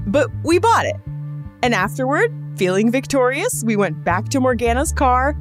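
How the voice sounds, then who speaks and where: A woman's voice: high-pitched, big pitch swings, normal speed, over music. A woman hosting a podcast, reading scripted narration in a conversational storytelling style with wry, joking asides. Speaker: podcast host.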